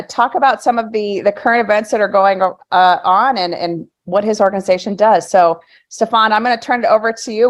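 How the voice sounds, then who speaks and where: Woman podcast host, friendly and casual, fillers and restarts. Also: medium pitch. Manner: friendly, filler-heavy, repeats words. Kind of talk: casual interview hosting.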